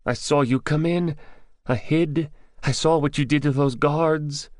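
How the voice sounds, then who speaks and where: A man's voice: a little high, steady tone, unhurried, darkish tone, no echo, studio quality, pitched above the performer's own voice, natural voice. A man voicing a frightened, boastful captive who works as a drug gang's escort in an audiobook, with a pleading, babbling way of talking. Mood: hushed, scared. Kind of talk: audiobook character dialogue.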